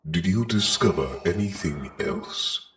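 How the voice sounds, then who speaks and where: Menacing dark lord, extremely deep, slow, commanding, formal, comic dub, faint noise. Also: male voice, animated. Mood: cold, commanding. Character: menacing armored Sith lord, commanding and terse. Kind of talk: dramatic comic dub acting.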